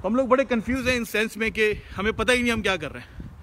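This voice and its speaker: A man's voice: sits high, quick pace, noisy background. A man with a Pakistani Urdu accent hosting a live question session, talking earnestly and casually while out on the road, mixing in English words. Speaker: host answering viewer questions. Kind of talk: casual live-stream talk. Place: outdoors, driving past shops.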